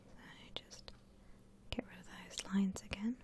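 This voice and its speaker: A woman softly whispering an ASMR drawing tutorial in a breathy, gentle, unhurried way, like an instructor. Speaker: ASMR art channel host.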